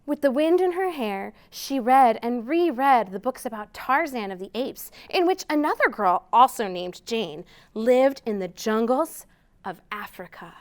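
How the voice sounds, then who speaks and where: A woman's voice: fairly high pitch, expressive, a little slow. A woman hosting a storytime for young children, reading aloud expressively and prompting them with simple questions. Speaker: children's storytime host at a library.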